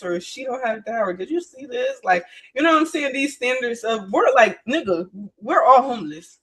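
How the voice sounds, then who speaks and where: High-pitched young woman talking candidly. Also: a bit bright, low-quality recording. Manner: emphatic, slangy, repeats phrases for emphasis. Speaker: interview guest, trade program graduate. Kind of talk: candid casual storytelling.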